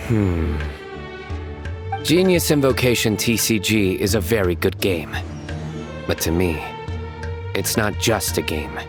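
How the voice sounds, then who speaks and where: An actor playing a stern, deadpan young male judge with a taste for bad puns, in a game cutscene. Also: deep voice, takes it slowly, long pauses, exaggerated voice. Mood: solemn. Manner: clipped, deadpan and solemn. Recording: game soundtrack music behind.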